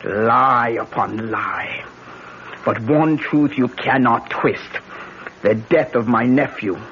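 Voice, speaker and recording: A woman's voice: very deep voice, slow pace, slight background noise. A woman playing an older, grieving French aunt in an old radio drama, with theatrical, solemn delivery and a French accent. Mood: bitter, accusing. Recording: muffled old radio recording.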